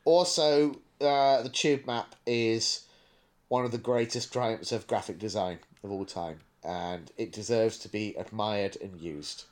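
A man's voice: on the low side, measured pace. A man co-hosting a podcast in casual banter, with a wry, mock-serious way of talking. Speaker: podcast co-host.

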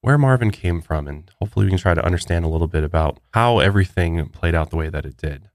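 A man's voice: sits low, animated, quick pace, darkish tone, no room sound, studio quality. A man co-hosting a podcast in casual chat, still congested after the flu, laid-back and agreeable. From Colorado, US. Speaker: podcast co-host.

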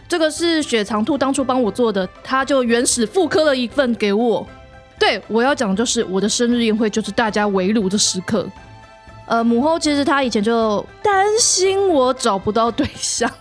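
A woman's voice: on the high side, normal speed, soft background music, natural voice. A woman playing a short, chubby, wingless elf prince who chats playfully and self-deprecatingly in a fairy-tale interview. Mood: chatty, self-deprecating. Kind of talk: in-character interview, fairy-tale audio drama.

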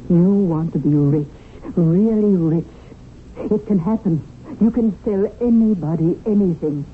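An actress playing a glamorous, greedy, seductive widow in a radio drama, coaxing and insistent. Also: female voice, lowish voice, a little slow, narrow, tinny audio, close to an everyday voice. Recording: thin radio broadcast, faint hiss.

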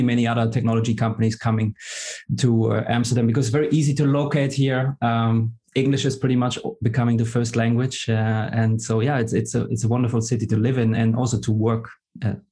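A middle-aged man from Germany, speaking as a guest in a casual podcast interview, pausing often with fillers and restarts. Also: medium pitch, flat delivery, dark tone. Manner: hesitant, many fillers and restarts. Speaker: guest, tech executive.

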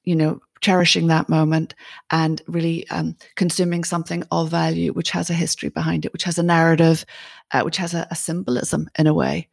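Woman host, low gravelly husky voice, effusive interviewer, dry sound. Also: monotone, a little fast, no echo. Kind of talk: interview questions.

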